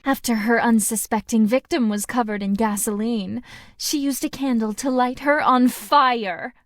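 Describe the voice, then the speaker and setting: Woman acting as young female game narrator, high voice, unhurried delivery, composed sinister storytelling, dry close sound. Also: no room sound, close to an everyday voice. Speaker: voice actress for a game character.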